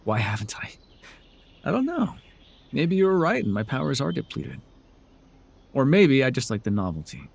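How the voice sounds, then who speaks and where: A man's voice: mid-range voice, big pitch swings, moderate pace, creaky, long pauses, slightly rough, heavily acted. A man playing a sardonic, telepathic supervillain in a dramatic audio roleplay, with a theatrical, taunting way of talking. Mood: scornful, probing. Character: sardonic male telepathic supervillain, menacing yet curious. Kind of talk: dramatic ASMR roleplay acting.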